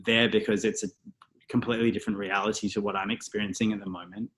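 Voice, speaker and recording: An Australian man in a casual interview, talking reflectively and thinking aloud with many pauses and fillers. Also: on the low side, steady tone, fairly bright tone, slight background noise. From Australia (Melbourne). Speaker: guest, stylist and photographer.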